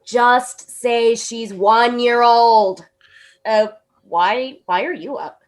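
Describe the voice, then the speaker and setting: A woman's voice: a little high, extremely slow. An actress in a radio drama playing a young woman at college, tipsy and stammering. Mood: tipsy, playful. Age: young adult. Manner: hesitant, stammering. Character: young woman, college student far from home, tender. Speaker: college student.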